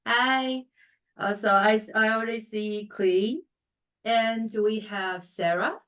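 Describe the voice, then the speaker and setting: A woman's voice: on the high side, fairly even intonation, slow pace, a bit bright. A woman hosting an online event over a video call, polite and informal as she organizes things aloud. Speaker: event moderator.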